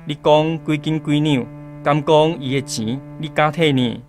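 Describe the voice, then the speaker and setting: An actor with a Taiwanese accent playing an alarmed market acquaintance who scolds and warns, in a radio drama. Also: male voice, a little high, steady tone, unhurried, soft background music, natural voice. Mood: scolding, alarmed.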